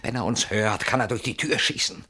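A man's voice: lowish voice, brisk, breathy edge. An actor in a German radio play, playing a fearful, brooding man barricaded at night, in an anxious theatrical manner. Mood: alarmed. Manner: theatrical, anxious, by turns hushed and agitated.

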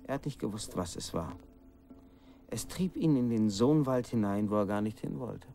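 An actor playing a village storyteller who recounts a grim legend in a radio drama, grave and suspenseful. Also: male voice, lowish voice, expressive, normal speed, warm tone. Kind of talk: dramatic narration in a radio play.

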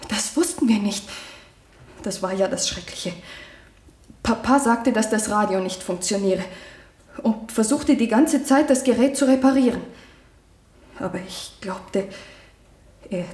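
Young female witness played by an actress, radio drama: mellow mid-range voice, shy, hesitant, roomy courtroom sound. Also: medium pitch, a little slow, long pauses, natural voice. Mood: anxious, hesitant. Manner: hesitant, soft-spoken, simple short answers. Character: timid young woman, loyal daughter on witness stand. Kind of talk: 1970 German radio play acting.

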